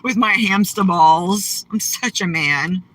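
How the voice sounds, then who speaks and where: A woman's voice: mid-range voice, slow, a bit bright, rough recording. A woman voicing a boastful heckling man as a sneering parody. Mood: mocking. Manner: sneering, mocking whoops.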